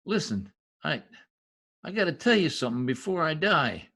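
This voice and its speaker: A woman playing a shot, dying gangster informer in an old-time radio comedy-mystery, halting, groaning and urgent in a melodramatic way. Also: low-pitched, very animated, a bit bright, full character voice.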